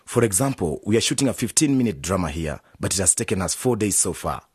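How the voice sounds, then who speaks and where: A man's voice: low voice, animated, quick pace, slightly rough, no echo, clean studio sound, exaggerated voice. An actor playing a busy, slightly patronising male film director in a radio drama, explaining things emphatically. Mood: brisk.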